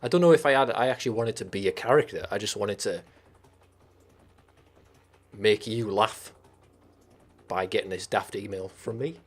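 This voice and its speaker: Husky Teesside man rambling on a stream. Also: lowish voice, moderate pace, long pauses. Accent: Teesside English. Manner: rambling and sweary, with frequent restarts. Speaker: live stream host. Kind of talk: casual live-stream chat, reading viewer comments.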